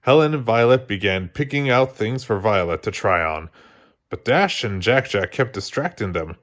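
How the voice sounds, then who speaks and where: A man narrating a children's story aloud in an expressive read-along style. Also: on the low side, normal speed. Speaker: storybook reader.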